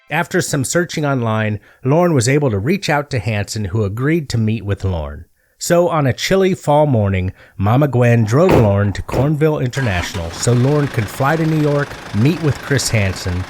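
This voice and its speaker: A man delivering scripted comedic storytelling as narrator, in a deliberate, mock-earnest way with emphatic phrasing. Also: medium pitch, a little mellow.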